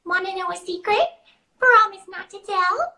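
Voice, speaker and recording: A woman's voice: lively intonation, normal speed, sharp tone, full character voice. A woman voicing a sweet, girlish cartoon fairy-tale princess who speaks in a confiding, wishful way. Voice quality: high, girlish. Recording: roomy, echoing sound.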